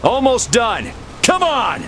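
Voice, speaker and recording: An actor playing a bold, decisive military captain in a game cutscene, with a brisk, confident, commanding delivery. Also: male voice, very high voice, wildly swooping pitch, sharp tone, slight background noise, full character voice. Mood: rousing. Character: bold, decisive young male army captain rallying his men. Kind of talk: video game cutscene acting.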